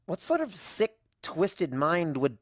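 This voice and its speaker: A woman's voice: on the low side, very animated, slow pace, no echo, heavily acted. An anxious young cartoon pony princess played by a woman, bookish and wry. She narrates her own fan fiction tale with fretful drama, in a very dry, close recording. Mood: bewildered, exasperated. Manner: wry, fretful, dramatic first-person storytelling. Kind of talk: fan fiction audio reading in character.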